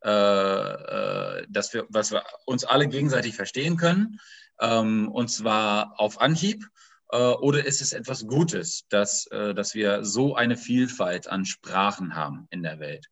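A man with a fairly low voice asks questions after a talk. He speaks very slowly, halting often and restarting his sentences as he searches for words. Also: lively intonation, gravelly, fairly bright tone. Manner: halting, full of fillers and restarts. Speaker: audience member asking questions. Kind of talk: conversational questions.

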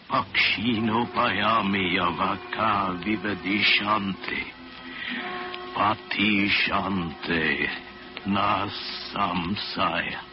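An actor playing a calm, deliberate mystic detective hero with measured authority in an old radio drama. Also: male voice, deep voice. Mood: solemn chanting. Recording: dull, noisy old radio recording.

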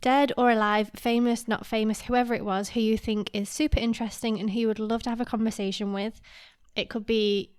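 Female host, friendly, a little high, dry sound. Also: flat delivery, brisk, a little mellow, smooth, no echo. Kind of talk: interview conversation.

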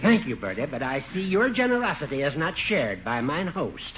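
A prissy, high-voiced old judge. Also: male voice, big pitch swings, normal speed, a loud crowd. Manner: fussy, wordy, formal. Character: fussy, prissy older male judge, dry wit. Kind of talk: 1940s radio comedy acting. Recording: dull noisy 1940s radio recording.

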